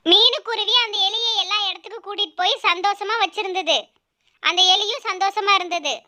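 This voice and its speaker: A woman's voice: very high-pitched, fairly quick, bright, forceful tone. A woman narrating a scripted children's animal story.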